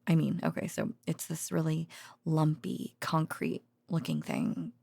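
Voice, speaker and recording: A woman playing an affectionate, excitable researcher girlfriend in an intimate bedtime roleplay, speaking softly and breathily in a hesitant, chatty way close to the microphone. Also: deep voice, measured pace, dry recording, full character voice. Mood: chatty, animated. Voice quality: breathy, soft, often near a whisper. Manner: hesitant, chatty, with sighs and giggles. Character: affectionate, rambling, excitable researcher girlfriend soothing her boyfriend to sleep. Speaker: voice actor. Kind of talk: intimate ASMR-style roleplay monologue.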